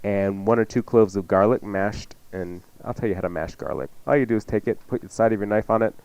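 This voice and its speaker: A man's voice: on the low side, brisk, darkish tone, smooth, no echo, dull audio. A man working as a radio chef, giving recipes in a casual, folksy, joking way. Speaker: chef and show regular. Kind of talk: casual chatty recipe instruction.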